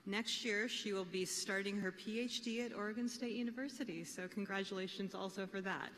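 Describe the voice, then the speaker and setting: A woman's voice: mid-range voice, brisk, fairly bright tone. A woman faculty presenter introducing a student speaker at a graduation ceremony, lightly joking, with a few fillers. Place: ceremony hall on stage. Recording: reverberant hall with faint ambience.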